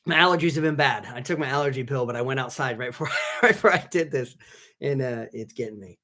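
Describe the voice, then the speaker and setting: A man working as a physician assistant, slightly raspy from allergies, hosting a casual live stream and rambling through many restarts while reading out viewers' names. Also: mid-range voice, quick pace, pushed and bright. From Southern California. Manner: rambling, many restarts and fillers, reads chat names aloud. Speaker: physician assistant and channel host.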